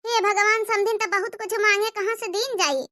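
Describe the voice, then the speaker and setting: A woman's voice: extremely high pitch, steady tone, moderate pace, bright, forceful tone, no echo, clean studio sound. A woman voicing a poor, affectionate and self-respecting grandmother in a cartoon comedy, humble in manner, with an Awadhi accent. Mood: worried.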